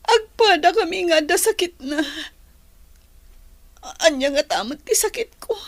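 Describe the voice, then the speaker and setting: A woman's voice: very high-pitched, no echo, full character voice. A woman in a radio drama playing a sick, weary daughter who talks in a plaintive, pained way. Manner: slow, plaintive, pained delivery. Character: sick, weary daughter flying home from working abroad. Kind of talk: radio drama acting in Ilocano.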